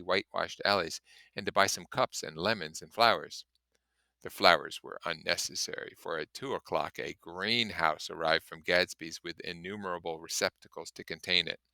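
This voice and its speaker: A man's voice: low-pitched, pushed and bright, clear, resonant tone, no echo. A man reading a story aloud in an audiobook style, with casual commentary of his own. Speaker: reader and commentator.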